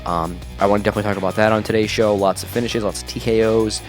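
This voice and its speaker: A man's voice: fairly low pitch, steady tone, racing, soft background music. Nasal, chatty male podcast host. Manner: chatty, enthusiastic, many fillers. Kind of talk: casual solo podcast talk. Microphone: home podcast mic through a mixer.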